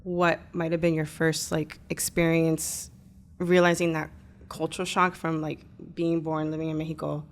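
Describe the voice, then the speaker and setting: A woman's voice: sits low, little pitch movement, normal speed. A woman co-hosting a casual interview podcast, encouraging and chatty, with lots of "wow" and "like". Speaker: podcast co-host.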